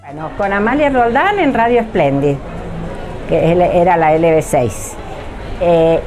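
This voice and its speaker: An older woman reminisces in an interview, haltingly searching her memory. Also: mid-range voice. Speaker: former radio theatre cast member. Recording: clearly noisy room ambience.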